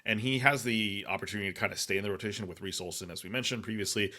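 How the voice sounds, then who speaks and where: A man's voice: fairly low pitch, pushed and bright. A man hosting a fantasy baseball podcast, talking casually with frequent fillers and restarts. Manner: halting, many fillers and restarts. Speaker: podcast host. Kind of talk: casual analytic chat.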